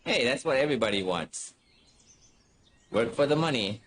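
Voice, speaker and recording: A man's voice: mid-range voice, lively intonation, normal speed, a bit bright, slight background noise. A middle-aged man chatting casually and wryly as he streams a game, rambling along. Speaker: gaming streamer. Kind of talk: casual chat over gameplay.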